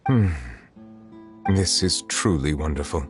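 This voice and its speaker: An actor playing a solemn, courteous chief judge in a video game, speaking formally and deliberately. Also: male voice, a bass voice, animated, in a large hall, music in the background, heavily acted. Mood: calm, formal.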